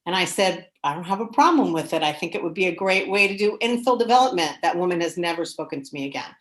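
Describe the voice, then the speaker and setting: A woman's voice: lowish voice, lively intonation, quick pace. A woman speaking earnestly and frankly as a panelist in an online discussion. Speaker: panelist with planning background. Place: remote video call. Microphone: video call.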